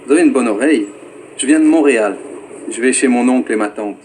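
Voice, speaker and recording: An actor plays a friendly Montreal man making polite small talk on a train. He has a Quebec French accent and a mid-pitched voice, with faint noise behind him. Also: fast talker, darkish tone, lightly acted, low-quality recording. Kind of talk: scripted language-textbook dialogue. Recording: faint background noise and ambience.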